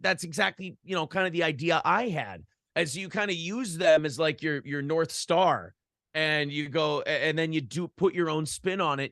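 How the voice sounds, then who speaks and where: Podcast guest, American male, slightly high voice, very dry sound. Casual, sweary storyteller who voices other people's lines as he goes. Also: vocal fry, dry recording. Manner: animated storytelling, acting out imagined quotes. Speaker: podcast host being interviewed, former radio broadcaster. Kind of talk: casual, profane interview chat.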